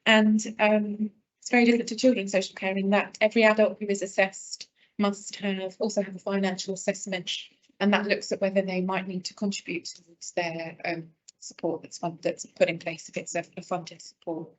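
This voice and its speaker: A woman explains adult social care in a presentation, restarting phrases and filling pauses with ums. Her voice sits in a middle pitch. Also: flat delivery, highs cut off. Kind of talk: presentation at an online event.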